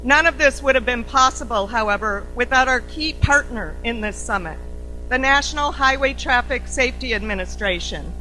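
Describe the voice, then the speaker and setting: A woman giving a formal welcome speech as head of the organizing group, with a loud, upbeat voice, reading thanks and introductions at a podium in a conference room. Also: fairly high pitch, animated, normal speed, faint music behind, poor audio. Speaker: CEO opening a summit.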